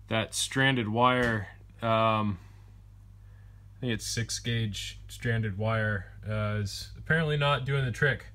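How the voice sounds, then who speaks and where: A man's voice: medium pitch, a bit bright. A young man talking plainly through his metalworking steps in a basement workshop, with frequent ums and uhs. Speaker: metalworking vlogger. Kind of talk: casual workshop narration.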